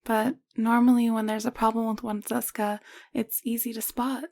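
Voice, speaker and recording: Creaky-voiced actress as a caring alien medic woman. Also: fairly high pitch, little pitch movement, moderate pace, no room sound. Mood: thoughtful, explaining. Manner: gentle, explanatory, hushed at times. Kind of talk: intimate audio roleplay acting.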